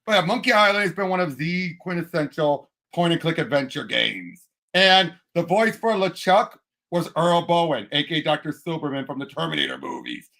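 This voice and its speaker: A man's voice: on the high side, sing-song, normal speed, gravelly, bright, forceful tone. A man co-hosting a podcast, chatting casually and emphatically about films and games, with firm opinions. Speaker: podcast co-host. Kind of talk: casual film and game discussion.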